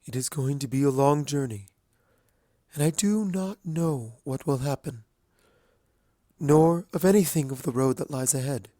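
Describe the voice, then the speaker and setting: A man voicing a motherly, regal female pony mentor, speaking softly and solemnly with sorrow. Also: mid-range voice, dry recording, clean studio sound, voice pushed up, lightly acted. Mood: tender solemn. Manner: soft, solemn, measured.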